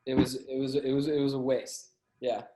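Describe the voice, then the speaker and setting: A man chatting casually as a podcast guest, telling anecdotes in a halting way, often repeating and stumbling over words. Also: medium pitch, little pitch movement, dark tone. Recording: roomy, echoey sound.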